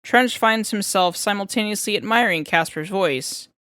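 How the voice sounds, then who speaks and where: Female audiobook narrator, medium pitch, scripted storytelling, very dry recording. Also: normal speed, fairly bright tone, dry recording.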